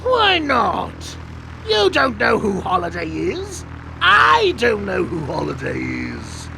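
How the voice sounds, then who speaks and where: An actor playing a manic, cruel male clown villain in a motion comic with a gravelly voice. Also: high voice, huge pitch swings, takes it slowly, slight background noise, exaggerated voice. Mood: mocking. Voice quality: gravelly, wildly swooping. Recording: with loud music score.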